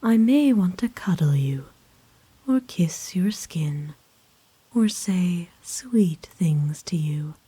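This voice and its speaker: A woman voicing a kind, doting aristocratic vampire in an ASMR roleplay, speaking formally and tenderly in a soft, breathy whisper. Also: fairly low pitch, animated, no room sound, exaggerated voice, poor audio. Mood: patient, affectionate. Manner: formal, genteel, tender, unhurried. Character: kind, doting aristocratic female vampire owner, gently possessive.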